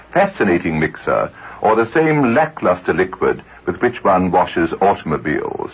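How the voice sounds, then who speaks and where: A man with a husky, animated voice delivers a radio commercial in a wry, genteel way. His low voice comes through thin and phone-like. It sounds like an old AM broadcast. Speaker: commercial announcer. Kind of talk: scripted radio ad voice-over.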